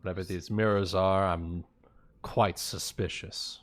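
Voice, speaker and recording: Deep-voiced male tabletop player. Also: fairly even intonation, measured pace. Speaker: player in a tabletop roleplaying game. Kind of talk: casual in-game talk.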